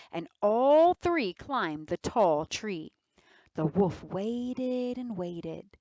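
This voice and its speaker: Slow, lively woman reading a children's story. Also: medium pitch, no echo, lo-fi sound. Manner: deliberate, dramatic. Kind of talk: expressive children's read-aloud narration.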